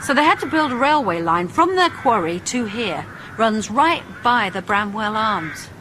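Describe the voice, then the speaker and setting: An actress playing a possessive, quietly commanding female ghost in an intimate radio horror drama. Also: a little high, animated, measured pace, a little hiss or hum, low-quality recording. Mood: coolly insistent.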